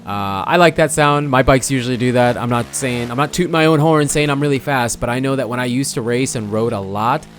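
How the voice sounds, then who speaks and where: Hoarse young man rambling over gameplay. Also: medium pitch, fairly quick, few pauses, slight background noise. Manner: rambling, self-correcting, chatty. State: hoarse, losing his voice. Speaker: gaming channel host. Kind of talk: casual gameplay commentary.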